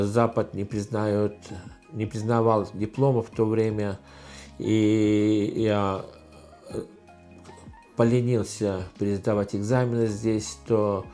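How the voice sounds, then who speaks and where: Middle-aged guy from estonia, estonian accent, lots of ums and restarts, low-ish voice, quiet music behind. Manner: halting, many fillers and restarts. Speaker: channel host. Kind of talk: casual Q&A vlog.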